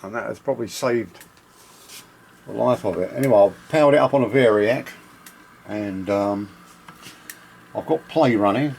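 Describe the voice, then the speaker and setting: Gravelly-voiced man demonstrating gear. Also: on the low side, darkish tone, slight background noise. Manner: halting, thinking aloud. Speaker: vintage audio equipment collector. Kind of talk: unscripted hands-on demonstration, casual talk.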